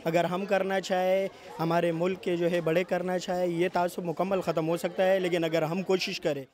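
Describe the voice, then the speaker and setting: A man's voice: high voice, flat delivery, a little mellow. A man with a Pakistani accent giving his opinion in a street interview.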